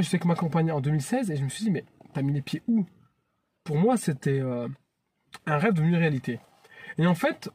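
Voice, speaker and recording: Man, fairly high voice, husky, earnest chatty vlog host. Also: a little mellow. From France. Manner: earnest and chatty, often restarting phrases. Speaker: YouTube channel host. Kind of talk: casual vlog monologue.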